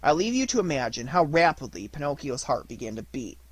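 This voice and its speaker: A man reading a children's storybook as an audiobook narrator, in a dramatic, deliberate manner. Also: mid-range voice, big pitch swings, husky, no echo.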